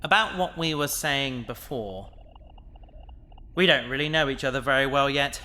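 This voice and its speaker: Determined, kindly spaceman male actor for sci-fi audio drama: mid-pitched forward voice, sincere, slightly awkward conversational delivery. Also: moderate pace, bright, forceful tone, lightly acted. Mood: earnest, friendly. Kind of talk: science fiction audio drama acting.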